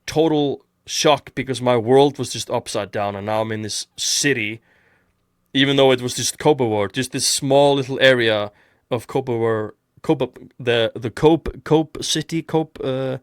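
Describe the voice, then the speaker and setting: A man's voice: mid-range voice, a little slow, smooth, no echo, clean studio sound. A man from Iceland hosting a casual podcast chat, rambling with many restarts. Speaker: podcast host.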